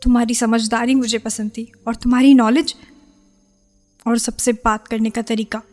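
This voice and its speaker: A woman's voice: on the high side, level tone, moderate pace, a little mellow, faint music behind, natural voice. An actress playing an anguished young woman in a radio drama, pleading and defensive, torn between her lover and her parents. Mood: tender, wistful. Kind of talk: Hindi radio play acting.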